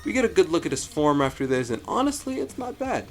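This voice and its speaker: A man giving a casual, jokey review commentary as the host, with animated delivery and frequent asides. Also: on the high side, quick pace. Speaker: host of a fan story review show. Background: music.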